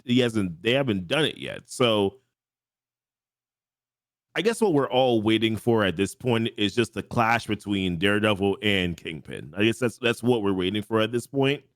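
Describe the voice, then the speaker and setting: A man with a lowish voice chats on a live-streamed podcast, circling back over the same points as he thinks them through. He speaks right into a close dynamic mic, and the sound is dry with no room echo. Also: expressive, moderate pace, a bit bright. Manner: rambling, repeats himself while thinking aloud. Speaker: podcast co-host. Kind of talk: casual chat. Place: home studio, streaming live.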